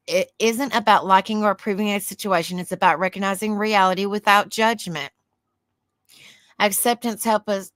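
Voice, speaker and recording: A woman with a Southern US accent and a soft, soothing voice hosts a livestream. She chats in a rambling way, reads aloud and answers viewer comments. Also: mid-range voice, no room sound. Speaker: livestream host. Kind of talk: casual livestream chat, reading aloud.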